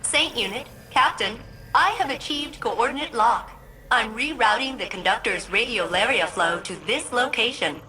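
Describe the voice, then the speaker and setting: A woman's voice: fairly high pitch, lively intonation, moderate pace, sharp tone, a little hiss or hum, rough recording. A woman playing a chatty, eager spaceship AI giving informal mission briefings in a video game. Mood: brisk, wry. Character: chatty, eager female spaceship AI guiding soldiers. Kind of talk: video game radio dialogue.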